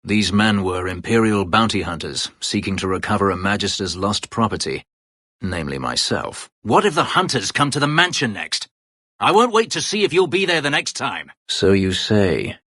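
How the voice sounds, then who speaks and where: An actor playing a brooding, bitter escaped-slave elf warrior in a fantasy video game, terse, guarded and drily sardonic, with a gravelly voice. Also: male voice, a bit bright, no echo, studio quality, exaggerated voice. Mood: agitated, angry. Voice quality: gravelly, husky low voice. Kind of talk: dramatic fantasy video game character dialogue.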